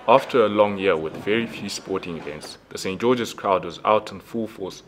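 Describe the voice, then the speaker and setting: Male school news presenter, low voice, scripted delivery, slight background noise. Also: normal speed.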